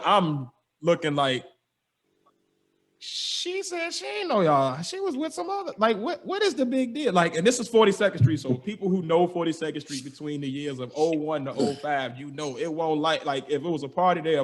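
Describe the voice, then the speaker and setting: A young man, a podcast host telling a story with lively energy, slangy and acting out the dialogue. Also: a little high, normal speed. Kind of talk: animated storytelling.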